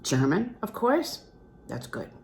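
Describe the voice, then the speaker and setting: A woman's voice: mid-range voice, big pitch swings, slow pace, gravelly, darkish tone, a little hiss or hum, pitched above the performer's own voice, full character voice. A woman voicing a courteous, assured male concert singer and teacher in a novel reading, with a genteel, instructing manner. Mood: indulgent, curious. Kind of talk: storybook character reading from a literary novel.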